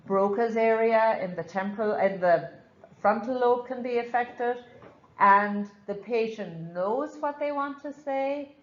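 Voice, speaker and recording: A woman's voice: on the high side, unhurried, reverberant, muffled recording. A woman with an Irish accent teaching a live nursing class, frank and chatty, explaining with examples. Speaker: nursing instructor.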